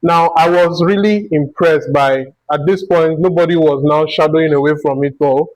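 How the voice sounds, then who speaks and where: A man's voice: on the high side, normal speed, darkish tone, no echo, rough recording. A man with a Nigerian accent hosting a conversational interview, thinking aloud in long, winding turns. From Akwa Ibom, Nigeria. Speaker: interview host.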